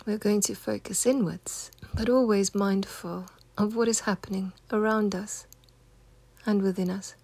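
A woman leading a guided meditation in a soft, husky, near-whispered voice, giving gentle, unhurried, soothing instructions. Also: mid-range voice, steady tone, no room sound.

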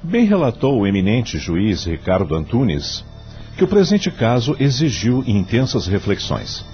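An actor playing a principled, devout judge in a Brazilian radio drama, speaking formally and oratorically with rhetorical questions. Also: male voice, lowish voice, wildly swooping pitch, measured pace. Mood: solemn, impassioned. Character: eloquent male judge and mentor, devout, firmly principled. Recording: dull lo-fi audio, faint music.

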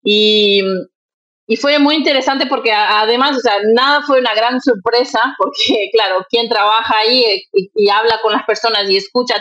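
In slightly muffled, lo-fi audio, a Brazilian woman speaks as an interview guest. Her pitch is medium for a woman. She is a native Portuguese speaker and talks conversationally, working out her thoughts as she goes. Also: fairly bright tone. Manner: thinks aloud, frequent tag questions. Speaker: interview guest, nonprofit executive director.

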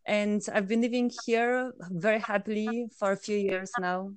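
Interview guest: woman from Poland, crisp clear tone, hesitant and filler-heavy. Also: mid-range voice, steady tone, moderate pace, no echo. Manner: hesitant, many fillers and restarts. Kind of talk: conversational interview answers.

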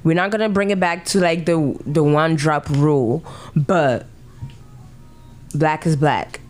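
Animated woman host from Ghana, lowish voice. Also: measured pace, faint music behind. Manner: animated storytelling, acts out quoted voices, laughs often. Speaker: podcast host. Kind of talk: casual chat.

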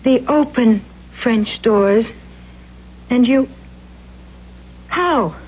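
A woman's voice: on the high side, very slow, slightly rough, full character voice. An actress playing a curious, polite young woman in a 1970s radio drama, with a hesitant, wondering delivery. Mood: startled, wary. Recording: muffled 1970s radio broadcast.

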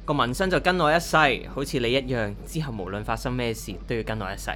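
A man's voice: medium pitch, lively intonation, fast talker, fairly bright tone, natural voice. A man acting an impulsive, romantic young musician boyfriend in a radio drama, with a Hong Kong Cantonese accent. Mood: earnest, devoted.

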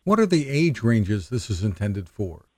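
A man hosting a tech interview show, asking short, steady questions. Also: fairly low pitch, measured pace, husky, no room sound, clean studio sound. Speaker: host.

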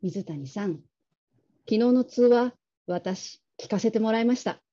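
A woman playing a polite, caring call-centre manager in a radio drama, gentle and measured, on slightly dull audio. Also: on the high side. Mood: earnest, measured.

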